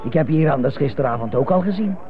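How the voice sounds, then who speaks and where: An actor playing a domineering, mocking intruder in a radio drama, putting curt, taunting questions in a nasty voice. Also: male voice, on the high side, expressive, fast, a crowd in the background. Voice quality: creaky, nasty voice. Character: domineering, mocking intruder, a sinister male interrogator. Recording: muffled phone-like sound, music behind.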